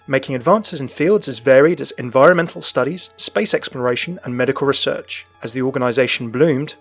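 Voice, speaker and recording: A man's voice: medium pitch, fairly bright tone. A man narrating a scripted video about the lore and backstory of a game character.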